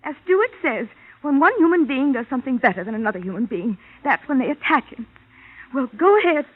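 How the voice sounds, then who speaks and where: Naive loyal wife, american, high and really animated, defensive, tinny old radio sound. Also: female voice, fairly quick. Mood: indignant. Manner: indignant, earnest, emotional. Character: defensive, naively devoted wife of a failed writer. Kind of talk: 1950s radio drama acting. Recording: dull, lo-fi old radio recording.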